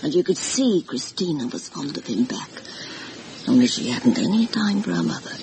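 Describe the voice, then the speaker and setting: A woman's voice: deep voice, sing-song, normal speed, creaky, full character voice. A woman playing an anxious, gossipy older housekeeper in a vintage radio horror drama, confiding and deferential as she tells her story dramatically. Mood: gossipy, pitying. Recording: noisy vintage radio recording.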